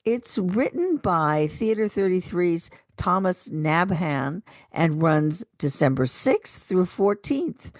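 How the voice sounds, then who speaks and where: A woman's voice: lowish voice, a little mellow, no room sound, studio quality. A woman co-hosting a radio program, reading scripted listings and announcements. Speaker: radio co-host.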